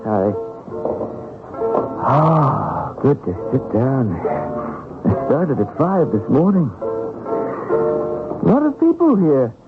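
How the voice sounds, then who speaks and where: An actor playing a simple, good-natured Russian peasant of about thirty, earnest and a little halting, in an old-time radio drama. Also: male voice, fairly high pitch, sing-song, loud music behind the voice. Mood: weary, friendly. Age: 30s. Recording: narrow, lo-fi old radio broadcast.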